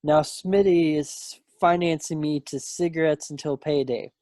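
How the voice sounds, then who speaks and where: A man's voice: fairly high pitch, fairly even intonation, measured pace, a little mellow, dry recording, studio quality, lightly acted. A man playing a breezy, rueful young wartime serviceman in a table read of a stage play over a video call. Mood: wry, rueful. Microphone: computer mic on a video call.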